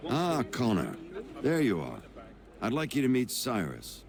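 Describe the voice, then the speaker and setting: An actor playing a genial, self-assured colonial gentleman in a video game, wry and articulate, with ambient noise behind. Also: mid-range voice, huge pitch swings, measured pace, gravelly, crowd noise, full character voice. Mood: amused, assured. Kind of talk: video game cutscene acting.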